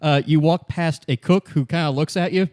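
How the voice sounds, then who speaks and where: A man running a tabletop roleplay as game master, narrating casually and haltingly as he improvises. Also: a little high, fairly even intonation, darkish tone. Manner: conversational, halting, repeats words as improvising.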